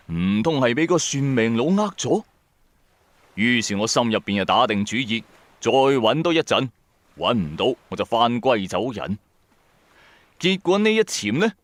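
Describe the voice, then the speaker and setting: Animated male storyteller with suspenseful delivery. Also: medium pitch, dry recording. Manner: colloquial, suspenseful. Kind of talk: dramatic first-person horror story narration.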